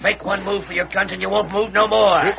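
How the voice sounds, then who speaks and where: An actor playing a hot-headed cowboy leader of a lynch mob, with an American Western accent and blustering frontier slang, in old-time radio style. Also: male voice, sits high, little pitch movement, a little fast, creaky. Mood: commanding, rousing. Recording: noisy vintage radio recording.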